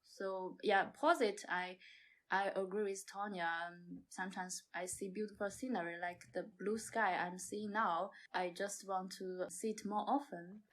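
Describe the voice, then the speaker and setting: Halting non-native English-speaking woman. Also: mid-range voice, steady tone. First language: not English. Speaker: podcast guest, amateur actor. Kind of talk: casual chat.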